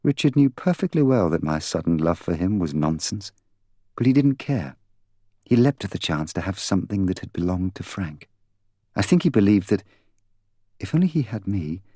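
A man's voice: low voice, warm tone, dry recording. A man voicing a proud, bitter young woman in an audiobook, candid, articulate and intense. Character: proud, bitter young woman confessing to killing her fiancé. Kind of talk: audiobook character dialogue.

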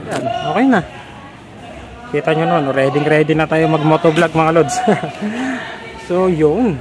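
A man's voice: on the high side, a little slow, noisy background. Chatty man with a raised voice. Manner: chatty, addresses viewers as "lods", repeats fillers. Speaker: motovlogger. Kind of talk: casual how-to vlog. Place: outdoors at home, then riding a motorcycle. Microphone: helmet-mounted action camera mic.

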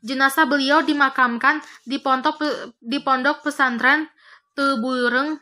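A young woman reading a prepared history text aloud for a class, slowing down carefully over long foreign titles. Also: sits high, fairly even intonation, pushed and bright.

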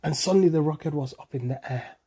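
Male bedtime storyteller, fatherly role, extremely soft-edged dark tone, slightly rough, simple repetitive delivery. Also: fairly high pitch, fast talker. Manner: simple repetitive phrasing, prompts the child with questions. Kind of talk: improvised children's storytelling.

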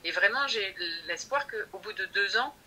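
A woman's voice: medium pitch, expressive, moderate pace, bright, forceful tone, slight background noise, rough recording. A woman speaking as a podcast guest about her teacher training, thoughtful and hesitant, often restarting her sentences. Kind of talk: conversational interview.

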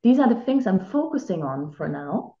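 A woman's voice: mid-range voice, big pitch swings, moderate pace, dark tone. A woman in her thirties, a native German speaker, chatting reflectively as an actress and life coach over a video call. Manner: reflective, chatty, many filler "like"s. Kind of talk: casual video-call interview. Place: home room with bare wall. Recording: roomy lo-fi video-call audio.

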